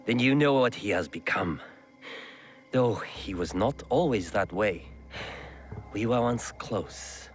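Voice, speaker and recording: An actor playing an ailing, courteous Japanese lord, betrayed and sorrowful, speaking formally and gravely in a game cutscene. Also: male voice, lowish voice, animated, slow, long pauses, a little hiss or hum. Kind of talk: dramatic game cutscene dialogue.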